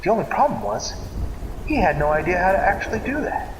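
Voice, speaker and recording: A man narrating a scripted story in a theatrical, deliberate manner, like an old-time radio serial. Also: sits high, sing-song, a little hiss or hum. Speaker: narrator of a dream story series. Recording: echoey reverberant hall, loud music behind. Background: music.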